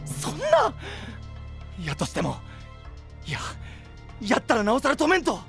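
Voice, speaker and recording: An actor playing an earnest, sweet-hearted boyish knight with a western Japanese dialect, eager and pleading, in an anime voice drama. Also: male voice, very high voice, huge pitch swings, measured pace, gravelly, a bit bright, faint music behind, exaggerated voice. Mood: urgent pleading. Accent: western Japanese regional dialect.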